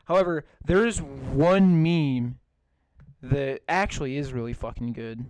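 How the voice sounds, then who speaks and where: A man hosting a podcast, with a raspy voice and a plugged-up nose, swearing and laughing in casual chat. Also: medium pitch, a little slow, a little mellow, dry recording. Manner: profane, laughing, voice cracks. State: stuffy nose. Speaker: podcast host.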